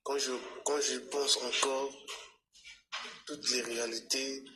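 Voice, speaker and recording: A man from Congo-Brazzaville calling into a live stream, speaking in a weary, halting way with many restarts. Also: medium pitch, flat delivery, music in the background. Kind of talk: emotional personal testimony.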